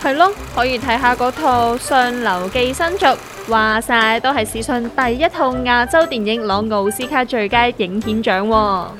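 A woman's voice: fairly high pitch, moderate pace, few pauses, over music, lightly acted. A woman with a Hong Kong Cantonese accent playing a sensible, inquisitive friend in a comedic audio drama, questioning and wry.

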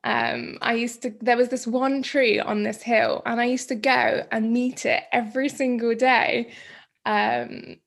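Woman, 30s, podcast guest: a bit high, gravelly, rambling and chatty. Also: animated, unhurried. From Greece. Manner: chatty, laughs often, trails off into fillers. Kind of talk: casual interview chat.

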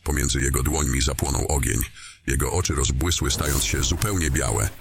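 A man narrating a scripted fantasy story in a descriptive, deliberate storytelling manner. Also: extremely low pitch, vocal fry, soft background music.

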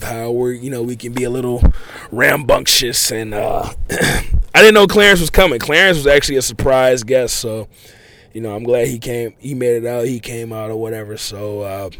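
A man hosting a casual, unedited podcast monologue, talking in a loose, rambling way full of fillers and restarts, his voice a little hoarse from overuse. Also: medium pitch, a bit bright, no echo. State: losing his voice, a bit hoarse. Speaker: podcast host.

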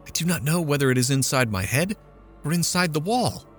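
Petulant, easily affronted mother, voice pitched high and complaining; a male reader puts her on. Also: expressive, fairly quick, soft background music, close to an everyday voice. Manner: complaining, indignant, raised pitch. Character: fretful, petulant middle-aged mother.

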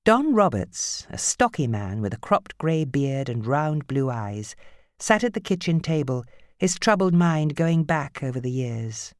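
A woman narrating fiction from a script as an audiobook reader, with a measured, grave and dramatic storytelling manner. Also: low-pitched, very animated, a little mellow, no echo, studio quality.

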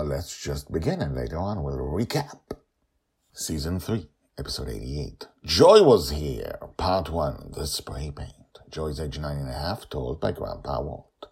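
A man with an extremely deep, husky voice hosts and tells a story. His pitch swings widely from low rumbles to emphatic peaks. He pauses for drama and slips easily into casual asides. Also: a little mellow. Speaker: storyteller, podcast host.

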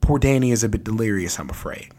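Guy reading a quiet, pitying neighbor lady from a storybook. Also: on the low side, expressive, quick pace. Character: sympathetic older neighbor woman, quiet.

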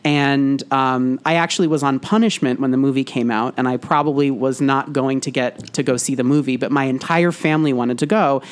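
A man's voice: medium pitch, fairly even intonation, a little fast, few pauses, clear, resonant tone. A man speaking as a podcast guest, telling personal stories in a casual, reflective, explanatory way.

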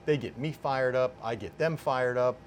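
A middle-aged or older man, a sports announcer, talking as a guest in a casual interview with emphatic, deliberate phrasing. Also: medium pitch, a little hiss or hum. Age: 50s or older.

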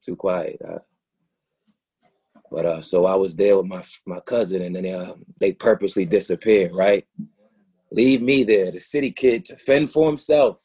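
Guy playing young new boyfriend in a stage play, husky, dark low-key tone, touchy and confrontational. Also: normal speed. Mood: reflective.

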